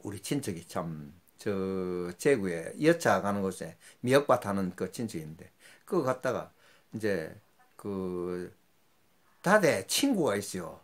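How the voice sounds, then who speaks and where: A man's voice: sing-song, unhurried. An older man tells rambling, folksy stories from his rural youth as host of his own channel. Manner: rambling, folksy, with sudden jumps in pitch. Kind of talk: casual storytelling monologue.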